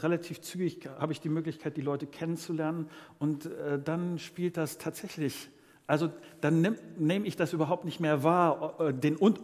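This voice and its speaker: Halting, higher-pitched male pastor in reverberant hall. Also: a little mellow. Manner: hesitant, searching for words, halting phrasing. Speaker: pastor hosting an interview. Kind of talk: informal live interview before a congregation.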